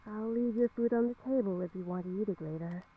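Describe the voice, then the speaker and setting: A woman playing a worried, gently coaxing middle-aged mother in a visual novel, soft and pleading. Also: mid-range voice, quick pace, breathy edge, natural voice. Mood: gentle concern. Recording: thin, phone-like game audio.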